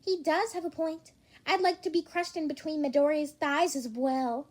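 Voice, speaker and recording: A woman voicing a bubbly, teasing, excitable teenage student in an audiobook, playful and gushing. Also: high-pitched, moderate pace, voice pushed up, natural voice.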